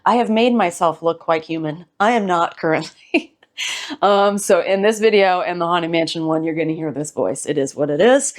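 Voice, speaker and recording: A woman hosting her channel, giving a chatty, rambling home tour while hoarse from recovering from an illness. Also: fairly low pitch, normal speed. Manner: bubbly, rambling, self-deprecating. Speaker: host of a home decor channel.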